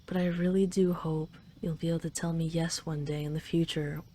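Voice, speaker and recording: Mellow, low-voiced dragon queen in love, acted by a woman. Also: level tone, brisk. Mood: tender, hopeful. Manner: teasing, tender, lingering delivery. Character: possessive, teasing, doting dragon queen in love. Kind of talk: intimate roleplay audio acting.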